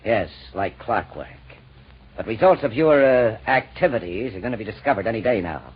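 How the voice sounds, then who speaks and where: A man's voice: fairly low pitch, lively intonation, moderate pace, breathy edge. An actor playing a smug, cagey crooked racketeer and womanizer in a 1940s radio crime drama. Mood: smug, calculating. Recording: muffled vintage radio recording.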